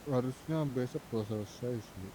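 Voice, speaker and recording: A man chatting casually in a gaming voice chat, brusque and slangy, given to swearing. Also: normal speed. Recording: faintly noisy game voice chat, low rumble.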